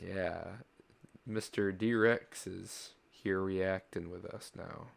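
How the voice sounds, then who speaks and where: A man's voice: sits low, steady tone, takes it slowly, vocal fry, no room sound. A man hosting a reaction stream in casual, hesitant, giggly chat. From Canada.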